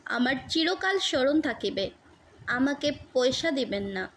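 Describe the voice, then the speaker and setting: A woman narrator plays a humble Afghan fruit seller in a storybook tale. He is a tender-hearted, homesick father who pleads with respect and earnestness. The voice is high and unhurried. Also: very animated, a bit bright, a little hiss or hum, heavily acted. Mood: humble, earnest. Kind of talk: storybook character dialogue.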